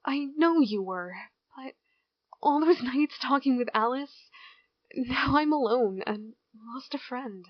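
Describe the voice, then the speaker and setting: A woman playing a withdrawn small-town girl in an amateur audio drama, hesitant, halting and apologetic. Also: high-pitched, expressive, dry recording, full character voice. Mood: hurt, hesitant. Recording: dull, dry lo-fi home recording.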